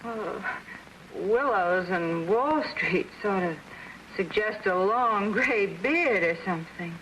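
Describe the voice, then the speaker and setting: A woman's voice: medium pitch, expressive, slow, a bit bright, slight background noise, rough recording. An actress playing a flustered young woman pleading for a job, stammering and wheedling, in 1940s film acting. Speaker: job applicant.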